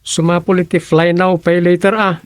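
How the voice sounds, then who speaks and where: A man's voice: high-pitched, level tone, moderate pace, no echo, natural voice. An actor playing a folksy village councilman in an Ilocano radio comedy drama, with an Ilocano accent. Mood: conversational. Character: village councilman, folksy adult man chatting with townsfolk.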